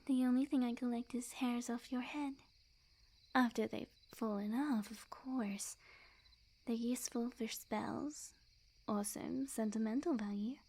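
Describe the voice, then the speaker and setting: A woman voicing a sweet, obsessively lovestruck, smug young witch in an intimate ASMR roleplay, speaking in a soft breathy near-whisper with quiet menace. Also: a little high, heavily acted. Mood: grudging, teasing. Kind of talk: ASMR roleplay, intimate scripted monologue to the listener.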